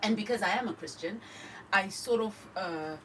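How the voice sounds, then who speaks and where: A Zimbabwean woman with a Zimbabwean accent, a radio guest telling her own story in a candid, animated way. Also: medium pitch, normal speed, distant mic, a little hiss or hum, rough recording. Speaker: radio guest, cancer survivor. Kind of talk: personal story told in a radio interview. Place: radio studio.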